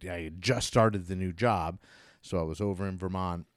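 A man's voice: deep voice, moderate pace, dry recording, clean studio sound. A middle-aged man hosting a podcast, talking about music in a casual, rambling, enthusiastic way with the odd swear word. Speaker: podcast host.

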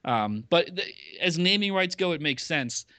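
A man's voice: a little high, lively intonation, moderate pace, sharp tone, no echo. Man rambling through a podcast segment. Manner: rambling, with many fillers and self-corrections. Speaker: podcast regular covering ballpark business news. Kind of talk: casual podcast chat.

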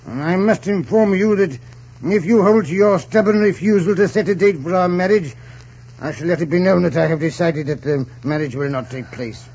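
An actor playing an imperious, scheming colonial governor, pompous and stammering, in 1940s radio drama style. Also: male voice, high-pitched, moderate pace, a little mellow, slightly rough. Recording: muffled 1940s radio recording.

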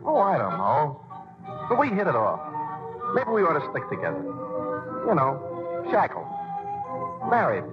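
An actor playing a brash young male vaudeville dancer, gruff and awkward, in a 1940s radio play, heard through a muffled old broadcast with music. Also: on the high side, huge pitch swings, measured pace, long pauses. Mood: bashful.

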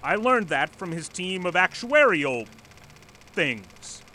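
An actor in an audio drama playing an earnest, stubborn former manager who lectures formally and pleads insistently. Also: male voice, sits high, sing-song, slow, sharp tone, a little hiss or hum, exaggerated voice. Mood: pleading, earnest. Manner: formal, insistent, speech-making. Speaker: presenter at a company meeting. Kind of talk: audio drama acting, satirical dystopian comedy.

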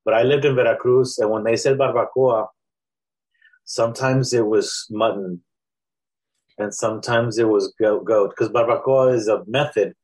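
A man from South Texas with a South Texas accent, a guest poet talking through his thoughts in a relaxed, reflective way with many pauses and restarts. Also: fairly low pitch, poor audio. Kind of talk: casual interview conversation.

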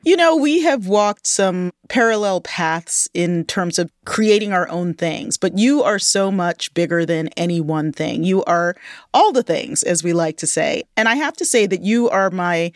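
A woman's voice: on the low side, animated, a little slow, dry recording, clean studio sound. A woman hosting an interview podcast, talking in an affirming, conversational way. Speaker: podcast host.

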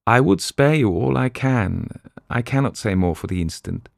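Measured detective, slow and soothing , read by a male narrator. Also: mid-range voice, expressive, vocal fry, dry recording, studio quality, voice pushed up. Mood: gentle. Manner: measured, gentle.

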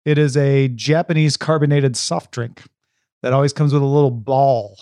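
A man's voice: mid-range voice, fairly even intonation, normal speed, dark tone, no room sound, clean studio sound. A man co-hosting a podcast in casual, playful, bantering chat. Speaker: podcast co-host.

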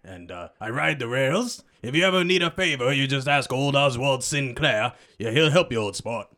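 Guy putting on jaunty old-timey hobo king, rides the rails, super animated intonation. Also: mid-range voice, moderate pace. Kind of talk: in-character introduction for roleplaying audio drama.

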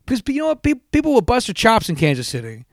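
A man co-hosting a casual podcast, hoarse-voiced, telling stories animatedly and swearing freely. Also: very high-pitched, wildly swooping pitch, quick pace, no room sound, studio quality. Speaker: podcast co-host. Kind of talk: casual chat.

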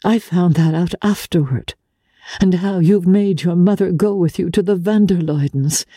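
A woman voicing a dignified, sorrowful married woman renouncing her lover in a dramatic audiobook, with measured, formal earnestness. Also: lowish voice, warm tone, husky, dry recording, clean studio sound, pitched above the performer's own voice. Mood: earnest confession. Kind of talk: dramatic literary audiobook reading.

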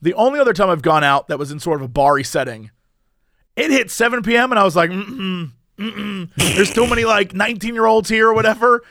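A man co-hosting a casual comedic podcast, rambling along in a playful, animated way. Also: sits high, fairly quick, gravelly, no room sound. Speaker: podcast co-host.

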